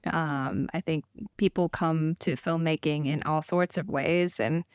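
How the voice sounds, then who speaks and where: A middle-aged woman who grew up in Thailand, a documentary filmmaker speaking as a guest in a casual interview, thoughtful and hesitant with many pauses. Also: deep voice, fairly even intonation, clean tone, dry recording, clean studio sound.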